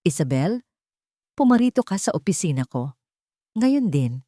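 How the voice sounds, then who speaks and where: A woman storyteller plays an emotionless tycoon who gives orders as if closing a business deal. The delivery is curt and deadpan, in a dramatic love story with a close, dry sound. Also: fairly low pitch, moderate pace, no echo. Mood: curt command. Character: cold, emotionless billionaire boss, a man.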